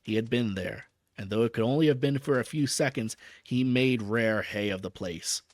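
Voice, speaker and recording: A man's voice: medium pitch, moderate pace, dry recording, pitched below the performer's own voice, close to an everyday voice. A man doing a subdued young nobleman. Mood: grim. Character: sober young nobleman reporting grimly.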